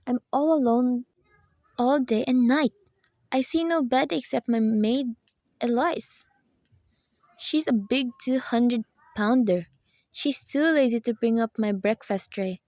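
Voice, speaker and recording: A woman's voice: on the high side, unhurried, long pauses, dark tone, no room sound, lightly acted. A woman playing an anxious, imperious, bedridden wealthy wife in a radio play, speaking in a fretful, insistent way. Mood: worried.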